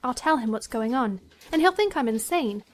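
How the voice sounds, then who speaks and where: A woman's voice: on the high side, lively intonation, normal speed, natural voice. A woman playing a defiant, anxious teenage schoolgirl in an audio drama, cheeky and urgent. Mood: rueful. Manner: cheeky, self-talking, urgent. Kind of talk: full-cast audio drama acting.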